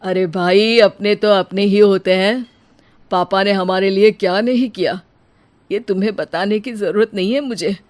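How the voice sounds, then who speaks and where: A woman's voice: medium pitch, moderate pace, darkish tone, natural voice. A woman voicing a caring, responsible elder brother in a cartoon moral story, speaking earnestly. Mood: reassuring.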